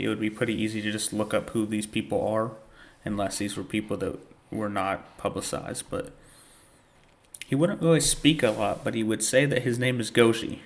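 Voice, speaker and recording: Gravelly low-voiced man giving reaction commentary. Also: fairly bright tone. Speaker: reaction video host. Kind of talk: casual reaction commentary, reading captions aloud.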